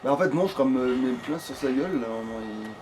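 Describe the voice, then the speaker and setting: A man's voice: medium pitch, quick pace. A man giving casual, grumbling commentary as he plays a video game, swearing freely. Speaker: gamer playing in a let's play. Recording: noisy home game recording.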